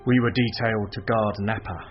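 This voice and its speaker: A man's voice: on the low side, fairly even intonation, brisk, lightly acted. An actor playing a tough young British undercover policeman in a radio drama. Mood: matter-of-fact. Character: touchy, tough young undercover special branch man. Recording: muffled, with music behind.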